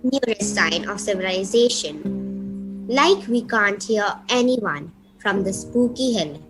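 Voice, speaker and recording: Woman playing a slow, grand, sinister countess. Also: medium pitch, expressive, loud music behind the voice, rough recording. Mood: menacing. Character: imperious, sinister countess hostess of a secluded castle. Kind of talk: amateur radio play acting.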